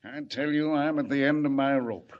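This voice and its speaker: An actor playing an anxious, gruff, exasperated city commissioner in 1940s radio drama style. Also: male voice, mid-range voice, steady tone, normal speed, close to an everyday voice. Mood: desperate. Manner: emphatic, exasperated, repeats himself. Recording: dull vintage radio recording.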